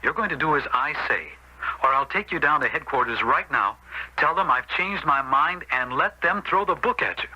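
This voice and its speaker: An actor playing a stern, upright American older brother, a storekeeper, in a 1970s radio drama. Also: male voice, on the high side, expressive, moderate pace, lightly acted. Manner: stern, admonishing, firm. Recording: dull, lightly noisy old radio recording.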